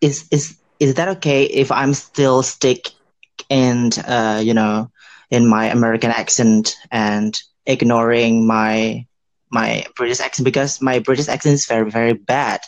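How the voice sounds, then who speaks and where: Halting host with nonstandard English. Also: male voice, medium pitch, flat delivery, dry recording, rough recording. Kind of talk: casual interview chat.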